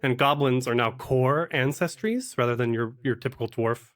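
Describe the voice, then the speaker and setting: Guy being interviewed on a podcast, middle pitch voice, just chatting casually. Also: moderate pace. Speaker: podcast guest, actual-play podcaster and game master.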